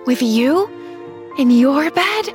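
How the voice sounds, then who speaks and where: A woman's voice: high voice, expressive, slow, music in the background, pitched above the performer's own voice. A woman voicing a cheerful, eager little girl who gushes with delight. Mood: rapturous.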